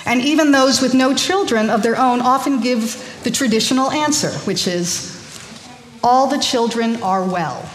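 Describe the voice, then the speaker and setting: A woman's voice: on the high side, normal speed. A woman born in Korea, speaking informally to a congregation, chatty and hesitant with many ums and restarts. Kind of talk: informal sermon talk to a congregation. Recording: echoey hall with faint noise.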